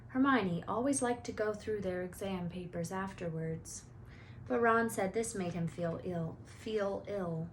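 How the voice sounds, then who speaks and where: A woman's voice: medium pitch, unhurried, slight background noise. A woman reads a storybook aloud as storyteller, narrating expressively.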